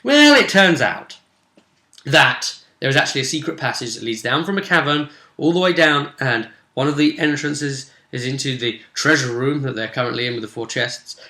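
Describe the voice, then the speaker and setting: Casting: male game master for casual session recaps, enthusiastic and rambling, sharp bright delivery, audibly echoey room. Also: medium pitch, expressive, moderate pace. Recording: roomy echo.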